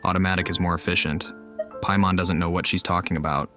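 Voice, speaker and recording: Very low-voiced woman with dry sarcasm. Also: level tone, quick pace, a bit bright. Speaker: gamer commenting over a playthrough. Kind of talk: casual commentary over game dialogue. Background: game music.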